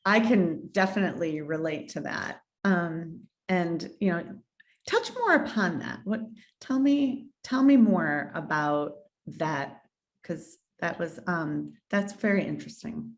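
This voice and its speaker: A woman hosting an interview, asking unhurried, hesitant follow-up questions. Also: on the low side, lively intonation. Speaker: interview host.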